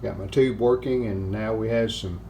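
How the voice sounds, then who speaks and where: An older man giving a hands-on repair walkthrough in plain-spoken, halting phrases. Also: fairly low pitch, steady tone, warm tone, slight background noise.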